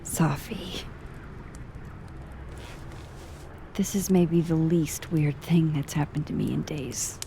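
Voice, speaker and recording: An actress playing a gentle, self-doubting young woman in a video game, earnest, hesitant and reassuring. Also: deep voice, fairly even intonation, a little slow, vocal fry, background noise. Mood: soft, wry. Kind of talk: naturalistic video game acting.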